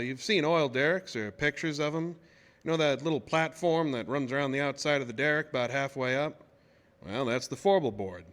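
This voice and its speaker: An actor playing a plain-spoken, shaken oil-rig worker who tells his tale in a folksy, halting way in a radio horror drama. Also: medium pitch, a bit bright, close to an everyday voice. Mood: matter-of-fact. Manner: folksy, colloquial, halting storytelling.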